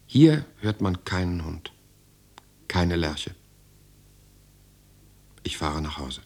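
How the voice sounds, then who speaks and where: A deep male voice tells his own story as a solitary, contemplative writer. The delivery is measured and lyrical, in the manner of a literary radio drama. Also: big pitch swings, darkish tone, heavily acted. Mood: resigned, homesick. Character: reflective, solitary European writer recounting his life. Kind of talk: literary first-person radio drama narration.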